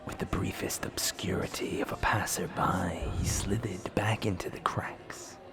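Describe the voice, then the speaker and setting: A man narrating a dark fantasy audio drama as a grave storyteller, in a breathy, gravelly near-whisper. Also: deep voice, steady tone, over music. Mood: grave, mysterious. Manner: slow, grave, level.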